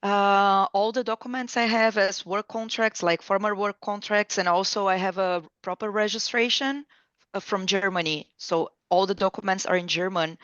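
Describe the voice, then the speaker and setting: Woman on a video call asking questions, lots of uh and like, smooth voice, dry kinda dull audio. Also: mid-range voice, steady tone, moderate pace, a bit bright, no room sound. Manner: hesitant, with many "uh" and "like" fillers.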